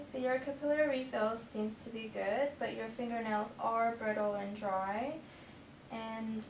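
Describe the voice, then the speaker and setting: A young woman speaks as a nurse, polite and procedural, explaining each step to a patient. Her voice is fairly high with plenty of creak. She is picked up from a distance in an echoey exam room. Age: young adult. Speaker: nurse in a clinical skills demonstration. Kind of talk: scripted clinical role-play, explaining steps to patient. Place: small clinic exam room, indoors. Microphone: far camera microphone. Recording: echoey room, distant mic, noisy background.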